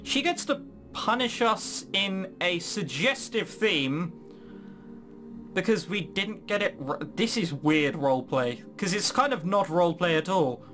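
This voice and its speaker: A very animated gaming host with a mid-pitched voice gives casual, sardonic commentary. The delivery swings up and down in incredulous, sweary asides, with faint music underneath. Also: a little slow. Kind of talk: casual let's play commentary, reading game dialogue aloud.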